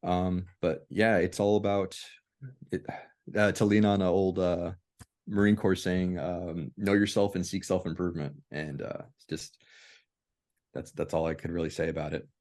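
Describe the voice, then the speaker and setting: A man chatting casually on a video call, speaking haltingly as he feels for his words. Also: deep voice, level tone, a little mellow. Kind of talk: casual chat.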